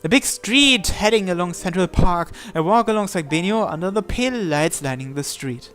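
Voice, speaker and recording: A man with a high-pitched voice narrates a visual novel, reading the story text aloud. Game music plays behind him. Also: animated, normal speed, fairly bright tone.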